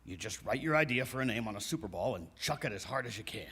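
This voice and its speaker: A man's voice: mid-range voice, lively intonation, fast, pushed and bright, slightly rough. Man playing a pompous ghost hunter in an echoing hall. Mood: smug, casual. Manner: grandiose, theatrical. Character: pompous, self-important male ghost-hunter reality show host. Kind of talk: live comedy audio sketch acting. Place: recreation center hall, live stage performance. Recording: hall reverb of a live show.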